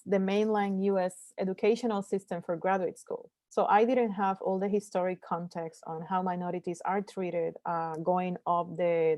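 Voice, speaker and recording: A woman from Puerto Rico whose first language is Spanish, speaking earnestly as a panelist in an online discussion, often pausing with fillers and restarting sentences. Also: lowish voice, dark tone, no room sound. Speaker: panelist, scientist.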